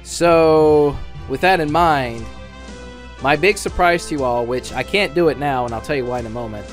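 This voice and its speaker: Halting, rambling man over background music. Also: on the high side. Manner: hesitant, halting, rambling delivery with frequent trailing restarts. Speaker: fan dub director and voice actor announcing auditions. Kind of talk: unscripted casual announcement to viewers.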